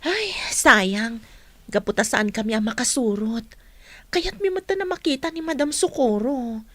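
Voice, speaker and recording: An actress playing a busy, regretful university teacher in a radio drama. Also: female voice, fairly high pitch, animated, measured pace, a bit bright, no echo.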